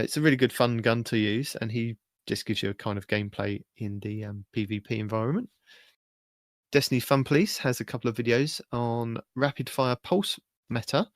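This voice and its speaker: A man's voice: mid-range voice, a little fast, no room sound. Casual male gaming podcaster, dry close sound. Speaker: podcast co-host. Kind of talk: casual gaming podcast chat, running through video guides.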